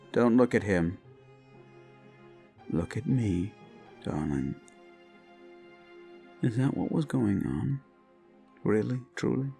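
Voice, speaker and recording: An actor playing an unhinged, paranoid, possessive young prince who speaks with soft menace, breaks into unsettling laughter and drops into whispers. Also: male voice, on the low side, vocal fry, exaggerated voice. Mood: coaxing. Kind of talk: audio roleplay drama.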